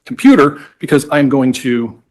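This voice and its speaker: A man speaking as a lawyer in court, methodically walking a judge through legal history in an explanatory, slightly halting way. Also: medium pitch, very animated.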